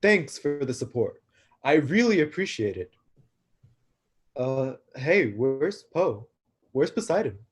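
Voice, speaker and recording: A man playing an awkward, downcast young god of destruction in a staged reading, husky and hesitant. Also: mid-range voice, very animated, slow pace, pauses often, exaggerated voice. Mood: flustered. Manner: hesitant, self-conscious. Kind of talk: live staged play reading.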